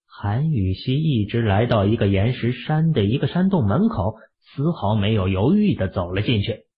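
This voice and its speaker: Raspy male audio drama narrator. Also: on the low side, very animated, normal speed, phone-quality sound.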